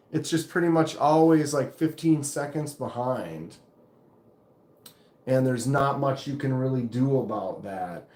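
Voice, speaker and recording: Deep voiced guy rambling on his live stream, reads chat out, mid pitch, kinda echoey room. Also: a little mellow. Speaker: knife channel live streamer.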